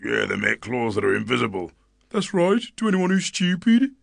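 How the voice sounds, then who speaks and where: A man plays a knowing storybook gossip. The voice is extremely gravelly and creaky, and it jumps around in pitch as the rumour is passed on. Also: sing-song, moderate pace, dry recording, studio quality, full character voice. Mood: knowing, gossipy. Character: gossiping townsfolk spreading rumours.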